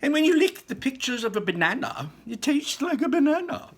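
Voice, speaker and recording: A man voicing an impatient, eccentric male candy maker, exclaiming and rushing through his lines in a storybook reading. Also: very high-pitched, sing-song, fairly bright tone, voice pushed up, full character voice. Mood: excited. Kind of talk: storybook read-aloud.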